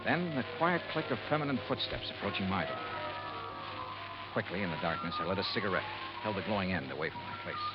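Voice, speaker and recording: A hardboiled detective of old radio drama, low-voiced and commanding. His delivery swings widely in pitch as he gives orders or narrates, on a muffled old recording with loud music behind. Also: animated, fast talker. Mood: tense, clipped narration. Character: hardboiled male insurance investigator, shrewd, commanding, unflappable narrator. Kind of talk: golden age radio detective acting and narration.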